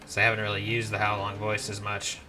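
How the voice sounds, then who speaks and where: A man's voice: fairly low pitch, completely flat delivery, fast talker. A man giving casual let's-play commentary, rambling with frequent restarts as he plays. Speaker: gameplay commentator.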